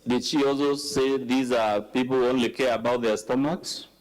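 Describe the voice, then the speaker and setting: A Kenyan man acting as counsel, asking deliberate, leading questions at a formal hearing. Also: mid-range voice, fairly even intonation, rough recording. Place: legislative chamber hearing.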